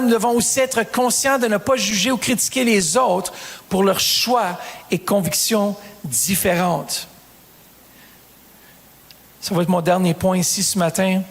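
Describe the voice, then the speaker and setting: A man's voice: high voice, big-room sound. A man, preaching a sermon as pastor, calling out to the congregation with rising emphasis. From Canada.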